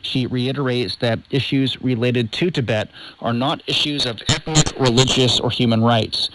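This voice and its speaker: A man reading scripted news in a formal, measured newsreader delivery, heard over shortwave radio. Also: mid-range voice, heavy background noise. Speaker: news presenter.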